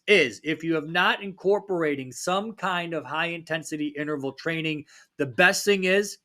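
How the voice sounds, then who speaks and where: A man hosting a fitness podcast, talking in a casual, upbeat pep-talk way, his voice a little raspy from a recent cold. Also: on the high side, a bit bright. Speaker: fitness coach and podcast host.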